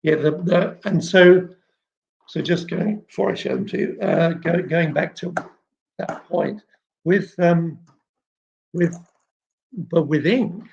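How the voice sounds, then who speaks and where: Gravelly man in a halting live chat. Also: a little high, darkish tone. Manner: halting, explanatory, frequent throat clearing. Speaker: children's author and illustrator hosting a live chat. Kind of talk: informal live question-and-answer talk. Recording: dull, muffled lo-fi live stream.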